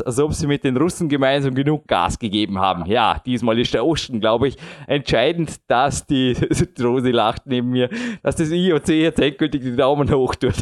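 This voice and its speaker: A man in his late thirties talking at length in a casual chat, rambling and self-deprecating with frequent chuckles. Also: mid-range voice. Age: 30s. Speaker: podcast host and competitive climber.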